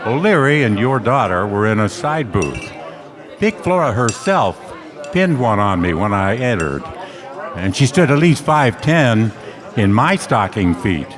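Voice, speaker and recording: A man's voice: fairly low pitch, big pitch swings, measured pace, crowd noise. A man playing a wisecracking hard-boiled private eye, wry, tough and slangy, in a noir radio play. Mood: wry, narrating. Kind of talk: noir radio play narration and dialogue on stage. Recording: reverberant hall stage recording.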